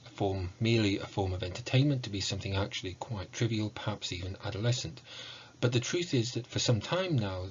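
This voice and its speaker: A man with a fairly low voice delivers an academic lecture. His phrasing is formal and measured, like prepared scholarly material. The recording is a little dull, with faint noise behind him. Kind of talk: academic lecture on video game music. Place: book-lined room at home, indoors.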